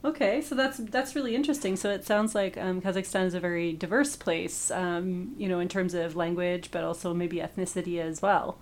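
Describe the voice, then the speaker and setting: A woman's voice: on the low side, steady tone, a little fast, hardly any pauses, a little hiss or hum. A woman hosting a podcast from a radio studio, encouraging and friendly with many fillers. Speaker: podcast host. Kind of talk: podcast interview.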